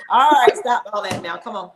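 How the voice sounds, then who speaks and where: A middle-aged woman hosts a relaxed talk show. She speaks in a candid, reflective way and laughs easily, at an ordinary pitch. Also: moderate pace, crowd noise, rough recording. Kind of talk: casual candid conversation.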